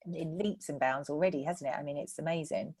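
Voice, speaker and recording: A woman's voice: sits low, fairly quick, a little mellow, breathy edge. A woman hosting a friendly interview, asking questions in an encouraging, enthusiastic way. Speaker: podcast host. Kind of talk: casual interview chat.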